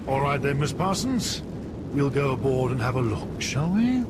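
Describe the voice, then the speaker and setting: An actor playing a stern, authoritative British airline captain in a crisis, brisk and commanding, in a 1970s radio drama. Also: male voice, big pitch swings, lightly acted. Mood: curt, stern. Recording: 1970s radio recording, loud rumbling noise.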